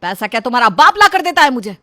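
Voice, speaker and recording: महिला की आवाज़ में गुस्सैल, रौबदार ससुर, तीखी आवाज़. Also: female voice, sits high, big pitch swings, fast talker, fairly bright tone, voice pushed up. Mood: furious. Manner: scolding, accusatory, self-important. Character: angry, domineering middle-aged shopkeeper father-in-law. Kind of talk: acted dialogue in a moral story.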